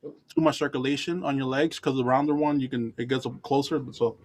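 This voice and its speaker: Easygoing man, halting speech. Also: mid-range voice, steady tone. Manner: halting, self-correcting, easygoing. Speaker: musician. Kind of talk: casual group chat.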